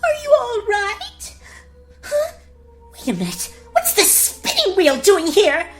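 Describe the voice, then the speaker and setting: A woman's voice: very high-pitched, huge pitch swings, slow pace, soft background music, voice pushed up, full character voice. A woman playing a doting but bossy queen mother in a comedic fairy-tale parody, melodramatic and overwrought. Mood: distraught.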